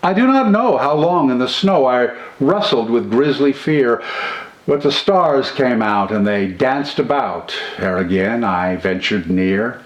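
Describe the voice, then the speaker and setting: An older man recites a ballad from memory, his voice rising and falling in wide, theatrical swings. He takes it slow and deliberate, and the reading echoes around a roomy space. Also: mid-range voice, expressive. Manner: enthusiastic, theatrical. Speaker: poetry reciter. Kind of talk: dramatic recitation of a poem from memory. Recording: roomy echo.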